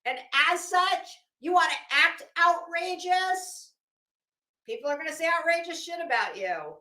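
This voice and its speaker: A woman's voice: very high voice, expressive, unhurried, bright, forceful tone. A middle-aged woman giving casual livestream commentary in a brash, opinionated, sweary way, with dramatic emphasis. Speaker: livestream host. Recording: echoey room.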